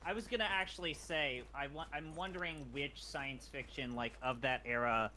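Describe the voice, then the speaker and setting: A man hosts a casual game stream over a desk mic, his voice bright and forward. He rambles and trails off mid-thought. A faint hum sits behind him. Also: mid-range voice, moderate pace. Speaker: streamer host. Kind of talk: casual gaming stream chat.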